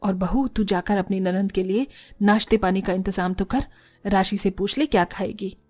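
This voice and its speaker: A woman's voice: medium pitch, steady tone, moderate pace, dark tone, faint music behind, natural voice. A woman voicing an older mother-in-law, authoritative and doting on her daughter, in a cartoon family drama. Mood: instructing.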